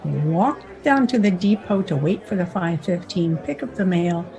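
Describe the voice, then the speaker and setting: A woman's voice: lowish voice, animated, moderate pace, dark tone. An actress playing a knowing, worldly frontier saloon woman, wry and teasing, in a radio western. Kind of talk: old-time radio western acting.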